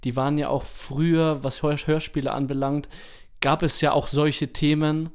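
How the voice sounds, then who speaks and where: A man's voice: on the high side, level tone, normal speed, smooth. Enthusiastic man chatting casually. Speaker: podcast host. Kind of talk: casual chat.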